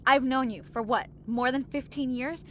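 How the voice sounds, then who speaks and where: A woman's voice: sits high, moderate pace, a little hiss or hum, close to an everyday voice. An actress playing a persistent, grieving woman agent in an audio drama, earnest and blunt. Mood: blunt, pressing.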